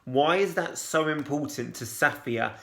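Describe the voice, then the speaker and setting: Man reading aloud, calm, expressive, gravelly, roomy echo. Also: fairly high pitch, unhurried. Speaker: teacher reading a novel aloud. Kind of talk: reading a children's novel aloud.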